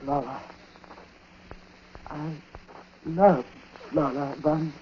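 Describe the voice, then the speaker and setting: Dying old uncle, bitter and superstitious, very slow grave delivery in broken phrases, vintage radio drama, muffled sound. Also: male voice, a little high, steady tone. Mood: weary confession. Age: older. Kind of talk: 1940s radio acting. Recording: noisy, muffled 1940s radio recording.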